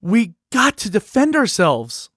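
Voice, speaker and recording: A man's voice: high-pitched, huge pitch swings, unhurried, fairly bright tone, no room sound, clean studio sound, heavily acted. A man playing a worried, unsure book puppet in a musical puppet show, anxious and questioning. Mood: anxious, urgent.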